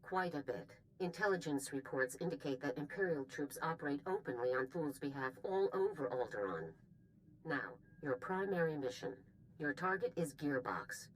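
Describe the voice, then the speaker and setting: An actress playing a stern, brisk military general, giving a formal, authoritative mission briefing in a video game. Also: female voice, deep voice, expressive, slight background noise, poor audio. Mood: businesslike briefing. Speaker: commanding officer.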